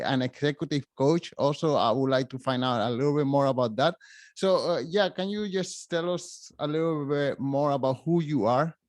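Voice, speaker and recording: A man with a somewhat high voice, a native Spanish speaker, hosts a podcast and puts questions to his guest. He talks in a friendly, halting way, with fillers as he gathers his thoughts. The recording is dry and close. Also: no room sound. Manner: friendly, hesitant, uses fillers. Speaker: podcast host. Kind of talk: interview hosting.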